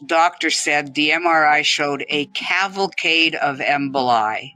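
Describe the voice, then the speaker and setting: A man telling an interviewer about his stroke in a relaxed, conversational way, laughing now and then. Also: on the high side, unhurried, no echo. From Canada. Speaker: stroke survivor guest.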